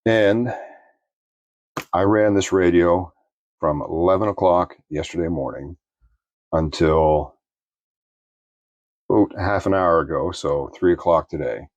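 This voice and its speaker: A man giving an unscripted product review in a plainspoken, measured, explanatory way. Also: low voice, darkish tone, no room sound, muffled recording.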